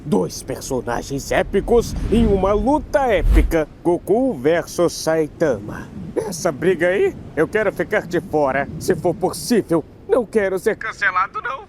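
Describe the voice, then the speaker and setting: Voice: mid-range voice, very animated, unhurried, background noise. An actor voicing a brash, mocking young male anime hothead in a parody debate, taunting and exclamatory. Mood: mocking, dramatic.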